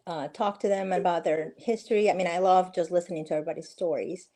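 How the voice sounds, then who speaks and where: A friendly middle-aged woman from Peru, speaking as a guest over a video call. Her voice sits low and mellow, and she often pauses on fillers and starts her sentences over. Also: fairly even intonation. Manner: friendly, many fillers and restarts. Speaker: guest, real estate agent. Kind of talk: casual interview conversation.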